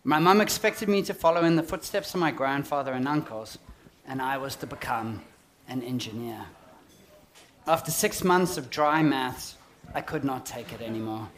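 A man in his forties with a South African accent, giving a prepared, measured public talk on design. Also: medium pitch, fairly bright tone.

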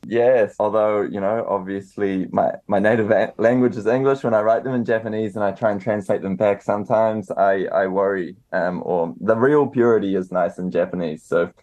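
A young New Zealand man answering interview questions in a relaxed, hesitant way, with many fillers and restarts. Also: on the low side, normal speed, few pauses, dull audio. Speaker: author and guest.

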